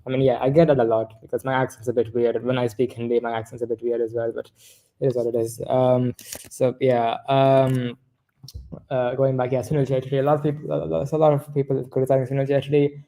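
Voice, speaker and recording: A man's voice: mid-range voice, flat delivery, brisk, warm tone, rough recording. A man co-hosting a casual football discussion, rambling and often correcting himself mid-sentence. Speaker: football podcast co-host.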